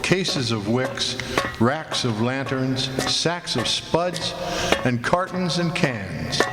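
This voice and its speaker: An actor playing a haunted lighthouse keeper, telling his tale in deliberate, brooding radio theatre narration. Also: medium pitch, animated, over loud music. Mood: wistful, descriptive. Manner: deliberate, brooding, savoring vivid descriptions. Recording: roomy hall, clear background noise.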